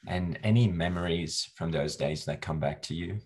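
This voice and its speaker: A man with a very deep, husky voice leads an interview, asking questions and backing up his guest's answers. His tone is encouraging and conversational. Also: moderate pace, a little mellow. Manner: encouraging, affirming with short yeahs. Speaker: interview host.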